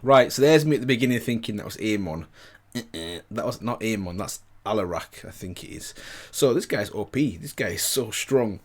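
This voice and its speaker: A man hosting a reaction video, talking casually and excitably. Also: on the low side, normal speed. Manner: animated, excitable.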